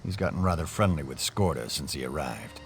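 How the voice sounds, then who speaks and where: A proud, quick-tempered baron in a fantasy game, giving blunt orders. His voice is very deep. Faint music plays behind him. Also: animated. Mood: commanding. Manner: commanding, blunt, angry. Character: gruff male baron, commanding and hot-tempered.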